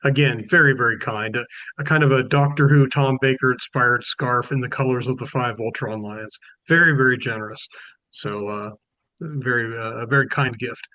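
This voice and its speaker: A man explaining something casually, in a measured, slightly hesitant and appreciative way. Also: mid-range voice, steady tone, sharp tone, no room sound.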